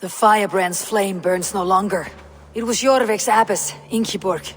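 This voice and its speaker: An actress playing a steely, blunt Viking warrior in a video game, speaking in a terse, dry, defiant way. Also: female voice, medium pitch, steady tone, normal speed, natural voice. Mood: grim, measured. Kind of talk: dramatic video game acting. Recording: long hall reverb, faint background noise.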